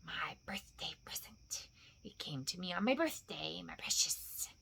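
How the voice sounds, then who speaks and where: A woman's voice: very high voice, expressive, takes it slowly, pushed and bright, pitched above the performer's own voice, heavily acted. A woman voicing a wretched, wicked male cave creature in a storybook, with a hissing, whispery voice that breaks into shrieks, muttering obsessively in broken grammar. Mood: secretive, gloating. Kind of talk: storybook character voice.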